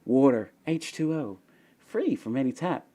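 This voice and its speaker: A young Australian man hosting a casual live Q&A, joking about himself and rambling with lots of fillers, his voice worn raspy from little sleep. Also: medium pitch, sing-song, a little slow, dark tone. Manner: self-deprecating, joking, rambling with many fillers. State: voice going raspy, tired. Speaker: livestream host answering chat questions.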